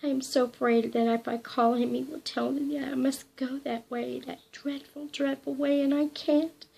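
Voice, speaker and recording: A woman's voice: sits high, measured pace, darkish tone, slight background noise, pitched above the performer's own voice. A woman voicing a frightened, timid young woman who pleads in a trembling, breathless voice, sometimes dropping to a whisper. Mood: terrified pleading.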